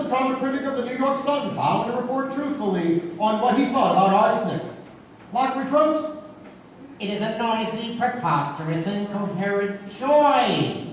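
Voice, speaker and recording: A show announcer giving scripted narration between acts, deliberate, with dramatic pauses. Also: medium pitch, a little hiss or hum. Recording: echoey theatre, distant, music underneath.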